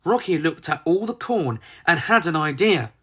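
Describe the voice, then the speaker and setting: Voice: medium pitch, expressive, moderate pace, fairly bright tone, slightly rough. A storyteller narrating a children's book in a theatrical, emphatic manner. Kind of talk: dramatised storybook narration with character voices.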